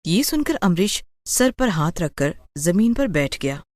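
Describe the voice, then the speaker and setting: A woman's voice: on the low side, very animated, unhurried, no echo. A woman narrating a scripted bedtime moral story.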